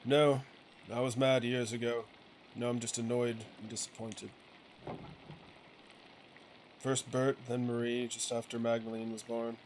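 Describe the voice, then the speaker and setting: A man's voice: medium pitch, flat delivery, unhurried, long pauses, lightly acted. A man voicing a weary, resentful printer and father from a video game, curt, firm and reproachful, with a righteous streak. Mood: bitter, disappointed. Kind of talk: acted reading of video game dialogue. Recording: clearly noisy game ambience behind voice.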